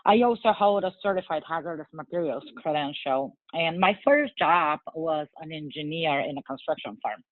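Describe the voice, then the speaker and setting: A woman in her forties from Bulgaria, speaking English with a Bulgarian accent, answering interview questions in an earnest, measured way with frequent fillers. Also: sits low, dry recording. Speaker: guest. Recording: tinny phone-like line.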